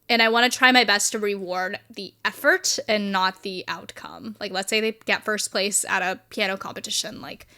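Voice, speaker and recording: Bright-voiced young woman co-host. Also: mid-range voice. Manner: animated and teasing, laughs often. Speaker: podcast co-host. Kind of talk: casual chat.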